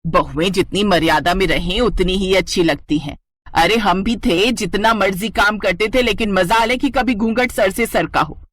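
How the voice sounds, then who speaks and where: A woman's voice: medium pitch, moderate pace, dry recording, lightly acted. A woman playing a nagging, old-fashioned older mother-in-law in a moral story cartoon, indignant and exclaiming. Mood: bossy, scolding.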